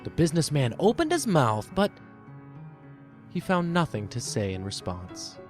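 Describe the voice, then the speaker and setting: A man reading scripted storybook narration in an expressive storytelling manner. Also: on the high side, unhurried, over music.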